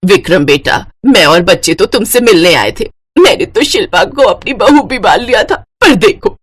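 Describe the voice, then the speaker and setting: A woman voicing a cunning, protective elderly mother-in-law in a family drama story, with a coaxing, deliberate manner. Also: medium pitch, very animated, slightly rough, no echo. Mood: wounded, reproachful.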